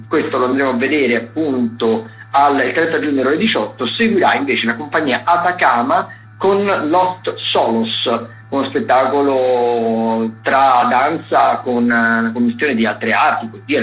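A man hosting a radio arts rundown, loosely improvised, hesitant and wordy, often correcting himself as he goes. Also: medium pitch. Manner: informal, hesitant, self-correcting, wordy with hedging asides. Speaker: radio host presenting arts listings. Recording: dull lo-fi radio sound, music behind. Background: music.

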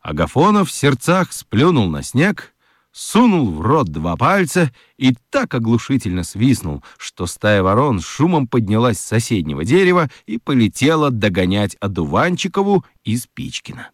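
A man narrating a children's radio story with dramatic, expressive delivery and deliberate pauses. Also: mid-range voice, no room sound, clean studio sound.

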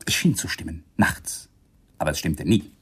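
Ein Schauspieler spielt in einem deutschen Krimihörspiel einen gereizten, innerlich zerrissenen Ehemann, theatralisch zwischen beherrscht und heftig. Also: male voice, deep voice, animated, moderate pace. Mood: bitter, vehement. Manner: theatrical, swinging from controlled to vehement. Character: exasperated, emotionally torn husband demanding a divorce. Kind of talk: German radio crime drama acting. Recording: 1980s radio play with clear noise.

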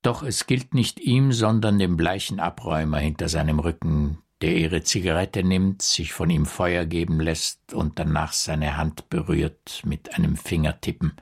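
A man narrating a bleak, poetic noir radio drama as an intense observer, in a deliberate delivery. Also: deep voice, breathy edge, exaggerated voice. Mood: bleak, observant.

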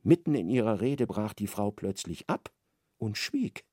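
An actor playing the precise, articulate narrator of a literary radio drama. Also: male voice, on the low side, very animated, unhurried, mellow, breathy edge, no echo, clean studio sound, full character voice. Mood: precise, wry. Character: precise, observant third-person male narrator of a novel.

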